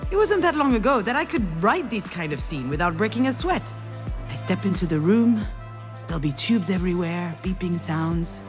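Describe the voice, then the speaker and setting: An actress playing an introspective, wry woman in a narrative video game, speaking in a measured way over music. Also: on the low side, very animated. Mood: brooding, anxious. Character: Junon, troubled failed screenwriter, wry introspective woman and mother. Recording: music under the voice.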